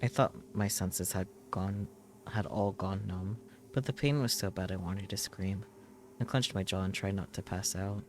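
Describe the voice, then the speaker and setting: A man reading visual novel text aloud as a streamer, in dramatic, intense narration with measured short phrases. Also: low-pitched, slight background noise. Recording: music behind the voice. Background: loud game music.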